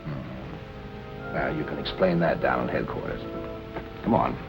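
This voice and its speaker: An actor playing a firm, probing police captain in colloquial, authoritative 1940s film noir style. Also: male voice, a bass voice, measured pace, gravelly, heavily acted, rough recording. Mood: firm, official. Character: steady, probing police captain, grown man. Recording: vintage film soundtrack with music underneath.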